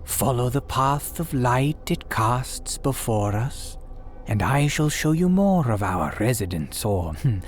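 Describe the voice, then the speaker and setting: A man's voice: mid-range voice, animated, takes it slowly, faint music behind. A man playing a sinister, courteous graveyard priest who hosts in formal, archaic, theatrical diction, in the style of a gothic radio drama. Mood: ominous courtesy. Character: sinister, courteous old-fashioned graveyard priest guiding visitors. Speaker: host.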